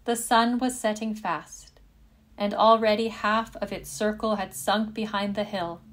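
A woman reads a fairytale as a storyteller. She takes it slowly and deliberately, with measured phrasing and a mid-range pitch, as in scripted storybook narration. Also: fairly even intonation.

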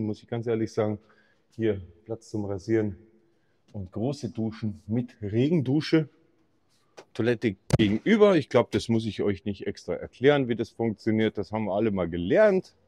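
A man's voice: fairly low pitch, moderate pace, dark tone, no echo. A middle-aged man from Bavaria, giving an upbeat, jokey walk-through tour of a campsite while filming himself. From Bavaria, Germany. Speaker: camping vlogger. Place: campsite outdoors and indoor reception office.

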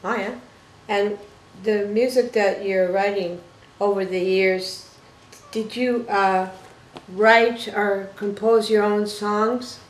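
Slow-talking older woman in an echoey room. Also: mid-range voice, fairly even intonation. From Toronto, Canada. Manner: folksy, uses pet names. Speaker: talk show host, interviewer. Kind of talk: casual interview chat. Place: small living room, indoors. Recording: roomy, echoing living-room sound.

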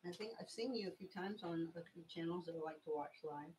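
A woman's voice: on the low side, quick pace, darkish tone. Scratchy-voiced woman crafting live. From Texas. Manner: chatty, rambling, stops to explain each step. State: scratchy voice from illness. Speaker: craft livestream host. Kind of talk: casual craft tutorial livestream chat. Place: small home craft room, indoors.

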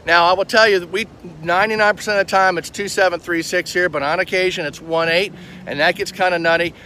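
A man's voice: sits high, steady tone, quick pace, bright, forceful tone. A middle-aged American man hosting an informal explanatory tour outdoors, talking emphatically into a phone held at arm's length. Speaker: air traffic controller giving a tour as host. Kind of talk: informal explanatory vlog talk. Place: outdoors at an airfield and open grounds. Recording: noisy outdoor airfield with vehicle sounds.

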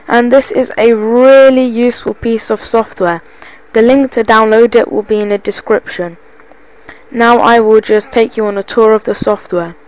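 Chatty woman demoing software. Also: fairly high pitch, rough recording. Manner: chatty, enthusiastic, repeats "as you can see". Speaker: tutorial presenter. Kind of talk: screen-recorded software tutorial. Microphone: basic PC microphone.

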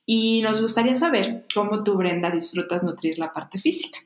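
A woman's voice: mid-range voice, normal speed. Reflective woman podcast host in an echoey room. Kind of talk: conversational podcast interview. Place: small home room, indoors. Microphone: large desktop microphone up close, headphones. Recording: roomy, echoey home recording.

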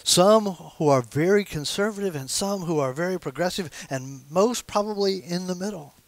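A man's voice: high voice, moderate pace, dry recording. A man in his sixties, a pastor giving a sermon at the pulpit of a small church, speaking in a conversational way and gesturing as he talks. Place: small church sanctuary with stained glass windows.

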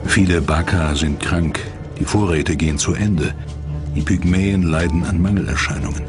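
A man's voice: deep voice, fairly even intonation, moderate pace. A man reading scripted documentary narration. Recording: loud music under the voice. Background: loud background music.

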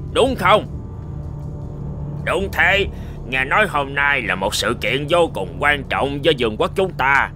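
Man voicing a gloating, animated demon henchman. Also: mid-range voice. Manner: boastful, bullying, exclamatory. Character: gloating, menacing green demon henchman of the underworld. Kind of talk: cartoon villain acting.

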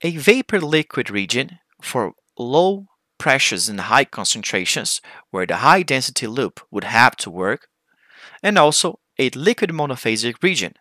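A man giving a formal, scripted conference presentation as a researcher, with deliberate phrasing. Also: medium pitch, pushed and bright, no room sound.

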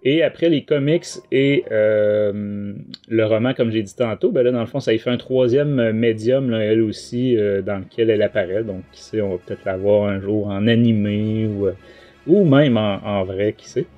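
A man's voice: fairly low pitch, normal speed, phone-quality sound. A man with a Quebec French accent hosting a casual review discussion, explaining things in colloquial language. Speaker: podcast host. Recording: music under the voice.

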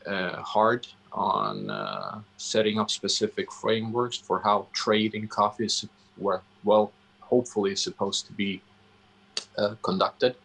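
Male interview guest, Swedish accent, low gravelly voice, halting and deliberate delivery over a video call. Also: lively intonation, a bit bright, poor audio. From Sweden. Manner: halting, deliberate, searching for words. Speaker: interview guest, coffee roastery founder. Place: indoor room, on a video call. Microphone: video call microphone.

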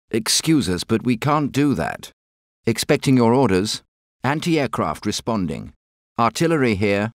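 A man's voice: mid-range voice, dry recording, clean studio sound. A man voicing a polite, deferential British artillery soldier with a British accent, reporting to his officer. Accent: British English. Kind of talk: game unit voice lines.

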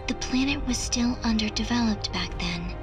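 A woman voicing a calm, cryptic AI girl. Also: fairly high pitch, lightly acted. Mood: detached. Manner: measured, precise, detached. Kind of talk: video game cutscene dialogue. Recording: game audio with music underneath.

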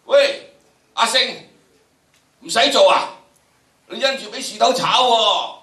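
A man's voice: very high-pitched, voice pushed up, exaggerated voice. Older man playing a hollering farm labourer. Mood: calling out, urgent. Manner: loud, drawn-out calling. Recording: echoey lecture hall, distant pickup.

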